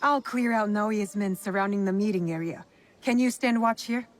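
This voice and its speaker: A woman's voice: medium pitch, moderate pace, close to an everyday voice. A woman playing a determined, blunt young female shinobi in a video game, direct and serious. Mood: businesslike. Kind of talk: dramatic video game acting.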